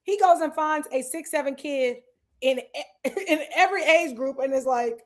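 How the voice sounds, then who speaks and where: Female co-host, lively casual sports talk, brightish upper pitch. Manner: animated, quick asides, laughs often. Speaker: podcast co-host.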